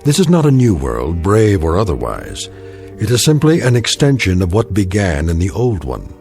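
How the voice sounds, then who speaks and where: Grave, low-voiced male narrator. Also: very animated, darkish tone, soft background music. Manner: grave, measured, solemn. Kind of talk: scripted dramatic narration.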